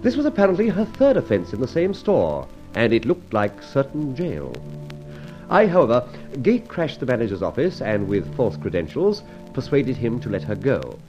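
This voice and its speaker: A suave gentleman adventurer in an old radio serial. His pitch swings widely as he talks, arch and playfully pompous. The recording is dull and lo-fi, with faint music. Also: wildly swooping pitch, moderate pace. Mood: wry narration.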